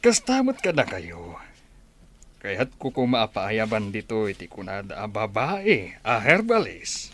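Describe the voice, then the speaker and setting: A man's voice: lowish voice, wildly swooping pitch, a little slow, a bit bright, heavily acted. A man with an Ilocano accent playing a commanding sultan in a radio drama. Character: commanding sultan, ruler of the islands.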